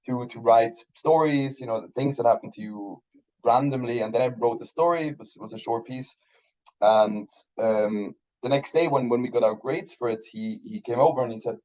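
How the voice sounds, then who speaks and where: A man's voice: medium pitch, moderate pace, a little mellow, lo-fi sound. A Swiss man in his thirties whose first language is German, chatting casually in an interview with many restarts and fillers. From Switzerland. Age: 30s. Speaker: guest filmmaker.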